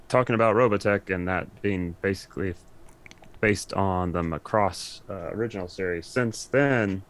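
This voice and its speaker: A man's voice: low voice, fairly bright tone. A man talking as a podcast guest in a casual, conversational style. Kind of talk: casual chat about anime and games.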